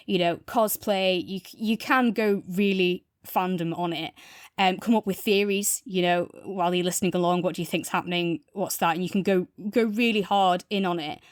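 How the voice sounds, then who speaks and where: A woman's voice: on the low side, clear, resonant tone, no room sound, clean studio sound. A woman co-hosting a podcast in a casual review chat, enthusiastic and wandering freely between points. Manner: enthusiastic, discursive. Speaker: podcast co-host.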